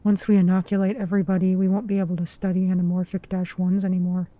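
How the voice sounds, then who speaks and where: Woman acting terse guarded officer, mellow voice. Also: lowish voice, little pitch movement, quick pace, highs cut off, lightly acted. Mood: worried. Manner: terse, understated. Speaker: voice actor. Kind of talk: naturalistic audio drama acting.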